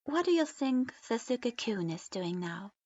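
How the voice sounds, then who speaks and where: A woman voicing a content, chatty young girl ninja, pitched a little higher. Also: sing-song, normal speed, darkish tone, breathy edge. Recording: dull lo-fi recording.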